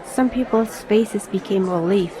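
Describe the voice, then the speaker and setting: A woman's voice: mid-range voice, measured pace, a crowd in the background, lightly acted. A woman playing an introspective young reader, narrating his story in scripted first-person audio drama style. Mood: measured.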